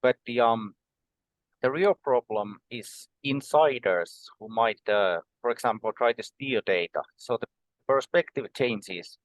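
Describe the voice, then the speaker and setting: Give me a middle-pitched Finnish man with a measured, explaining manner and lots of filler pauses, recorded dry. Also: no room sound, rough recording. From Finland. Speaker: guest. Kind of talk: conversational podcast interview.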